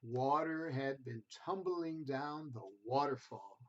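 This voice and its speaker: Guy reading a kids book out loud, takes his time, expressive, bit of a dull lo-fi recording. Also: mid-range voice. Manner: expressive, deliberate, with asides to listeners. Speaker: storybook reader for children. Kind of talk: children's read-aloud storytelling.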